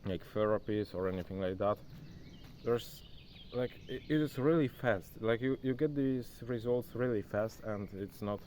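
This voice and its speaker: Young male vlogger, non-native English, lowish voice, loose chatty "bro" delivery, phone mic outside with faint insects. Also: normal speed, a little mellow, a little hiss or hum. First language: not English. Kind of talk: casual self-recorded vlog talk. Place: outdoors, rural spot near a forest. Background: faint insects and outdoor nature sounds.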